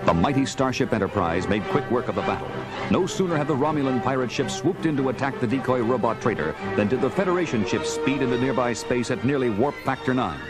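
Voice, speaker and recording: Adventure narrator, played by a man: brisk, dramatic storytelling over loud music, vintage audio drama. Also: medium pitch, animated, lightly acted. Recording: vintage 1970s record, loud music behind.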